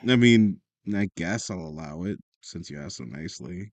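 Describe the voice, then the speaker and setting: A woman's voice: extremely low pitch, lively intonation, moderate pace, darkish tone, no room sound. A woman playing a guarded but caring, wry teenager in an audio drama, speaking in a casual, understated, teasing way.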